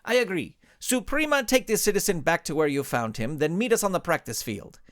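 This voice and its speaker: Masked hero leader for audio storybook, played by a male narrator: pompous, scornful, lively swooping delivery. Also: high-pitched, a little fast, no room sound, clean studio sound. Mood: commanding. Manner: pompous, declamatory.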